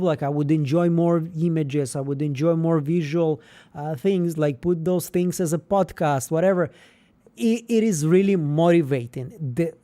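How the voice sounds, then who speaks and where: A man's voice: a little high, warm tone. A chatty man, hosting a livestream. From Israel. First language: Russian. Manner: rambling, with frequent fillers and restarts. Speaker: livestream host. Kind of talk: casual livestream chat.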